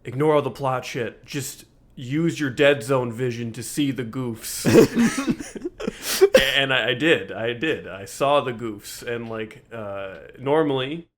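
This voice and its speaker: A man co-hosting a podcast in casual comedic chat, with a halting, jokey, laugh-prone delivery and casual swearing. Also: mid-range voice. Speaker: podcast host.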